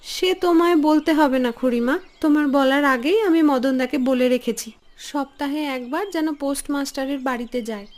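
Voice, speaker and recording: A woman voicing a caring, nagging younger village woman in a cartoon, with brisk, matter-of-fact, chiding speech. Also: high-pitched, lightly acted. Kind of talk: cartoon story dialogue.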